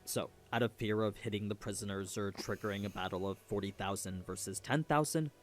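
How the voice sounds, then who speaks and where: A man's voice: lowish voice, a little fast, faint music behind. A man giving a scripted story recap as a video narrator.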